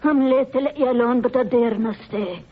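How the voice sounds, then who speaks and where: A woman's voice: fairly high pitch, fairly quick, close to an everyday voice. Woman playing a frightened Scottish housekeeper. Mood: fearful. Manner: superstitious, hushed, dialect-heavy. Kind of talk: 1940s radio acting. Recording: dull old radio recording with hiss.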